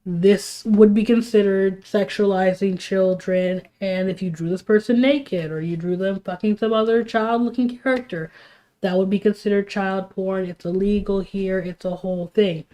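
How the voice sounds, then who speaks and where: A young woman streams a heated, rambling rant, stumbling through restarts and fillers. She is sick and sniffles as she talks. Her voice sits at an ordinary middle pitch. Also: steady tone, normal speed, warm tone, low-quality recording. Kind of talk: casual livestream rant.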